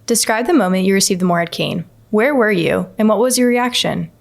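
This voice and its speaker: A woman's voice: mid-range voice, fairly quick, gravelly. Woman posing interview questions. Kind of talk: scripted interview prompts.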